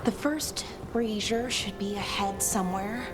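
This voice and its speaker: A woman's voice: mid-range voice, steady tone, unhurried, lightly acted. A woman playing an earnest, scholarly young elf woman in grief, talking in a rambling, unsure way in a video game. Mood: wistful, uncertain. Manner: rambling, hesitant, self-correcting. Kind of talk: video game dialogue acting. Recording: clear game music behind the voice. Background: game music.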